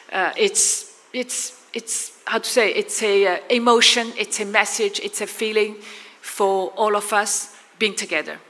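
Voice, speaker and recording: A woman's voice: mid-range voice, a bit bright. A woman from Shanghai speaking English with a Chinese accent as an artist on a panel, earnest and often searching for words. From Shanghai, China. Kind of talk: panel discussion answer. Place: large conference hall. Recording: reverberant conference hall.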